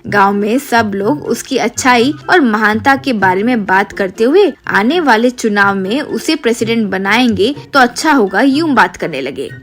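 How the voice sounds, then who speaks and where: A woman narrates a children's moral tale. Her voice is fairly high and follows a scripted storytelling delivery, with light music behind it. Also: expressive, normal speed, few pauses.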